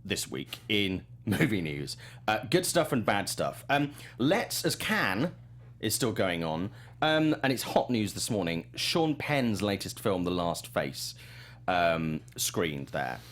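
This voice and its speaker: A man's voice: fairly low pitch, sing-song, takes it slowly, fairly bright tone. A man hosting a movie news talk show, chatting casually in an informal, wry and blunt way. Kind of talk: casual panel chat.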